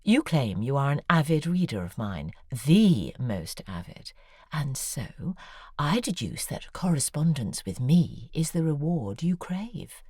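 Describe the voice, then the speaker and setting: A woman's voice: low-pitched, very animated, a little slow, darkish tone, slightly rough, dry recording, studio quality, heavily acted. An actress playing a worldly, sardonic woman novelist, talking in an intimate, confiding and drily ironic way. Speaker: novelist writing letters. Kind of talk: audio drama monologue.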